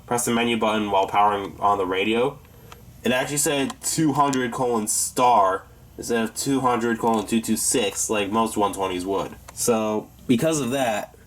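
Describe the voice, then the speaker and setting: A man giving a casual, rambling tour of a hobby collection, explaining things informally as he goes. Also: on the low side. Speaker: hobbyist presenting a radio collection.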